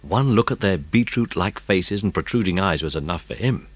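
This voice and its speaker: A man's voice: deep voice, expressive, normal speed, no echo. A man narrating a children's story in a dramatised audiobook style.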